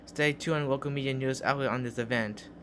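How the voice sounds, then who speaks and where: A man's voice: mid-range voice, fairly even intonation, brisk, fairly bright tone, a little hiss or hum. A man delivers a scripted mock emergency broadcast in a formal, official announcer's manner.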